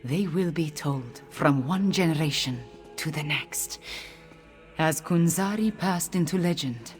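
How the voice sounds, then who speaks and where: An actress voicing a young catfolk queen in a video game, speaking in a measured, earnest and formal way, regal and grateful. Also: low-pitched, faint music behind. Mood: solemn, proud. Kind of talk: scripted video game voice acting.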